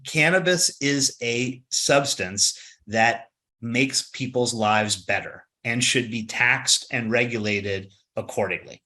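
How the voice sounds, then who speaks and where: Business guy being interviewed, sharp bright voice, keeps saying right?. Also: mid-range voice, slow pace. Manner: emphatic, repeats words, says "right?". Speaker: company executive guest. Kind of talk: conversational interview answers.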